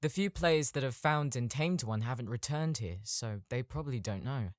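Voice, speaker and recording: A showy young wizard from a fantasy game audiobook, acted by a man. He talks in a grand, theatrical way with very lively pitch, recorded close and dry. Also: moderate pace, dry recording, lightly acted. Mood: matter-of-fact. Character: showy, smirking young male game mage.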